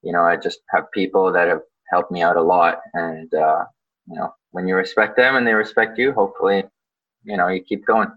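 A man from Canada, born in Ukraine, answering interview questions casually, informally and haltingly as he thinks aloud. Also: deep voice, steady tone. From born in Ukraine, grew up in Canada. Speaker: guest, underwater photographer and dive professional. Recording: slightly dull, lo-fi sound.

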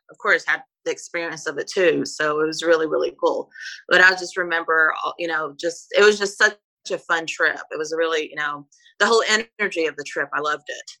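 A woman's voice: deep voice, bright, forceful tone, rough recording. A Brazilian woman whose first language is Portuguese, a private flight attendant telling stories in a casual interview, hesitant with many fillers and restarts.

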